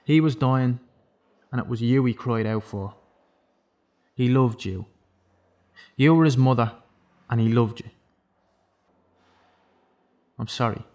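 A man performs a remorseful killer in an audio drama, confessing from prison. His delivery is halting and self-loathing, in a naturalistic style at a middling male pitch. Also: a little mellow, lightly acted. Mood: pleading, remorseful. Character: remorseful imprisoned killer confessing to a victim's mother. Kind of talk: naturalistic radio drama acting.

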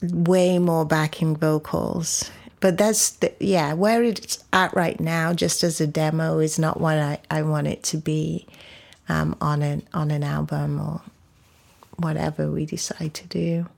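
A woman from England, a singer-songwriter guest, chatting casually in an interview, relaxed and quick to laugh. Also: low voice, creaky. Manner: relaxed, laughs easily, trails off.

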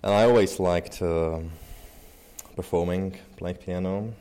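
Man, 30s, deep warm voice, relaxed rambling interview guest. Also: slow pace, clean tone. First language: Czech. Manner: loose and chatty, many fillers and restarts. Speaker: interview guest, pianist and researcher. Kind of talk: casual interview talk.

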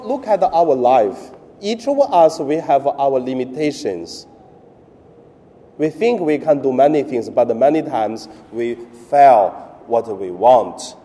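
Slow, mellow-voiced priest with Chinese accent. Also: male voice, medium pitch, long reverb tail. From mainland China. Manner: halting, broken English with pauses and fillers. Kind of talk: Sunday homily.

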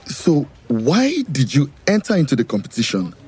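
An actor playing an inquisitive, amused friend in an audio drama. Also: male voice, medium pitch, wildly swooping pitch, normal speed, darkish tone, slightly rough, heavily acted. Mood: amused curiosity.

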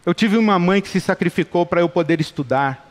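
A Brazilian man preaches with passion and a high voice. He builds his points through repetition, and his words ring out with a long reverb in a church hall. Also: brisk. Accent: Brazilian Portuguese. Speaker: pastor. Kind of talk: sermon.

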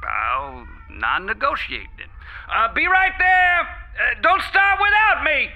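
An actor playing a placating, wheeling-and-dealing showbiz executive who hedges in clipped replies in an audio drama. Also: male voice, extremely high pitch, wildly swooping pitch, slow pace, bright, forceful tone, muffled recording, heavily acted. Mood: placating, harried. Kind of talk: audio drama phone conversation.

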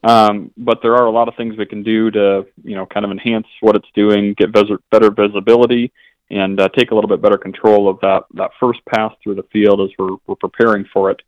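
A man's voice: lowish voice, level tone, a little fast, no echo. A man from an equipment dealership, explaining things as a radio guest and often restarting his sentences. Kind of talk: interview answers. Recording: dull, lo-fi line.

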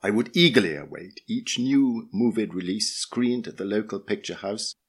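Husky low-voiced British man reading aloud. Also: animated, moderate pace. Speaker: podcast host and reader. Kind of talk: scripted essay reading.

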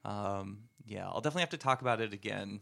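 A man's voice: lowish voice, fast, creaky, sharp tone. A man co-hosting a casual podcast, chatting about movies and laughing often. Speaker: co-host. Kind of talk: casual chat.

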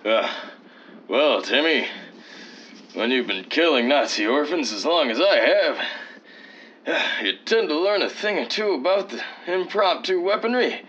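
Radio serial lead: swaggering, folksy adult war hero, a man acting, forceful bright voice, hammy bravado, dull lo-fi recording. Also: fairly high pitch, lively intonation, measured pace. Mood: cocky, folksy. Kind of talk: old-time radio adventure parody acting.

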